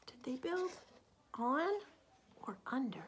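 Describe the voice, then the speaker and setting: Slow, animated, high-voiced woman storyteller. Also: background noise. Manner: animated, deliberate, asks the listener questions. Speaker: children's story reader. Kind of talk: expressive picture-book read-aloud with asides to young listeners.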